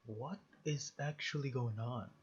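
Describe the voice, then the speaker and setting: A man's voice: mid-range voice, a little slow, highs cut off, slight background noise. A man giving casual game commentary, musing and hesitating as he thinks aloud. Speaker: gamer playing and reacting.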